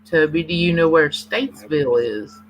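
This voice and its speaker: A man's voice: fairly high pitch, animated, normal speed, low-quality recording. A man from Baltimore, Maryland, co-hosting a live stream in casual group chat. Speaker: co-host of a paranormal live stream. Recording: faint hum and noise on stream.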